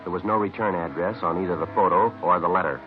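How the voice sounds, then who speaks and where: An actor playing a terse, methodical police detective, dry and polite, in a vintage radio crime drama. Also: male voice, low voice, quick pace, natural voice. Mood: dry, factual. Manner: deadpan, clipped, polite. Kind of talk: 1940s-50s radio crime drama, clipped narration and dialogue. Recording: noisy, dull vintage radio recording.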